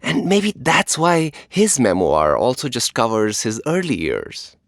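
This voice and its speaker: A man's voice: medium pitch, huge pitch swings, unhurried, no room sound, clean studio sound. A man co-hosting a podcast, reading conversational narration. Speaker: podcast co-host.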